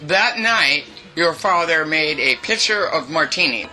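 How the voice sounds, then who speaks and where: An older woman in her eighties tells stories from her life with a loud, lively voice. She talks chattily and plays out the dialogue of the people in her stories. Music plays behind her. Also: sits low, unhurried, low-quality recording. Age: 80s. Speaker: interviewee. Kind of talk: reminiscing storytelling.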